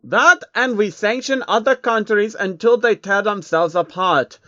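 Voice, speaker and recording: A man's voice: high voice, unhurried, bright, forceful tone, no echo, highs cut off, voice pushed up. A man doing a blunt suited official. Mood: matter-of-fact.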